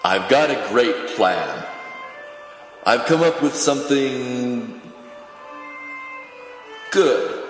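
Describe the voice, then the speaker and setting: A man's voice: medium pitch, animated, very slow, pauses often, soft background music. Man playing a pompous cult star, echoing. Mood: grandiose. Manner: pompous, commanding. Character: vain, domineering male cult-star singer, grandiose and cruel. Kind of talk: theatrical audio drama dialogue. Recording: echoey, reverberant hall sound.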